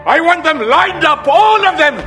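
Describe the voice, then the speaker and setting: An actor playing a furious, imperious sultan who barks commands in an audio drama. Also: male voice, animated, normal speed, pushed and bright, faint music behind, heavily acted. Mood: furious, commanding. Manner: shrill, commanding outbursts. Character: furious, imperious male sultan barking orders.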